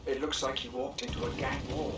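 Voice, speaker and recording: An actor playing a wry, cautious informant and mentor in a video game, with a knowing, cautioning manner. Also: male voice, mid-range voice, flat delivery, fairly quick, heavy background noise, natural voice. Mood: watchful, cautioning. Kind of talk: video game cutscene acting.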